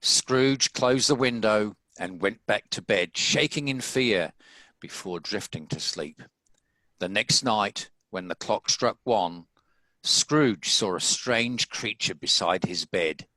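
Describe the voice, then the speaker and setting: A man's voice: lowish voice, takes it slowly, sharp tone, no room sound, low-quality recording. A man reading scripted story narration as the narrator of a table read.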